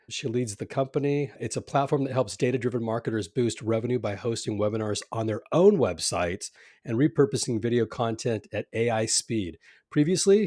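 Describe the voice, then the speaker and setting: Man from California, podcast host, friendly questions, mid pitch, dry recording. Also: dark tone, dry recording. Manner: friendly, scripted intro then loose questions. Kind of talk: podcast interview hosting.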